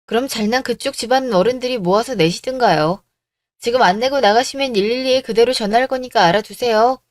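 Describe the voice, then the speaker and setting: A woman's voice: a little high, brisk, dry recording, clean studio sound, lightly acted. Woman acting bold, defiant young woman. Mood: scolding, resolute. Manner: assertive, cutting, sarcastic. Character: bold, defiant young bride-to-be, plucky daughter. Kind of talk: radio drama story acting.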